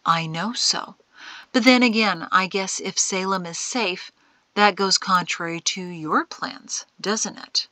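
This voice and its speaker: A woman voicing a calm, wry old mentor in an audiobook, breathy and half-whispered, unhurried and gently chiding. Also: medium pitch, no room sound. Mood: assured, chiding. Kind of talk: audiobook character acting.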